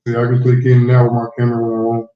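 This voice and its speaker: A man's voice: medium pitch, monotone, very fast. A man co-hosting a livestream, talking casually and helpfully. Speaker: livestream co-host. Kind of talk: casual chat. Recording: roomy, distant, lo-fi call audio.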